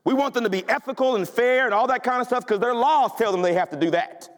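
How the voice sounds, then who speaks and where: A man's voice: extremely high pitch, fast talker. A male pastor delivering a sermon in a church, talking in an emphatic, conversational way and asking the congregation questions. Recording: long hall reverb.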